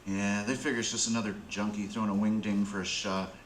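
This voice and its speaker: A man playing a world-weary, soft-hearted drug addict in a radio play, talking in halting slang. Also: on the low side, little pitch movement, close to an everyday voice. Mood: bitter, resigned. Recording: roomy, faint background noise.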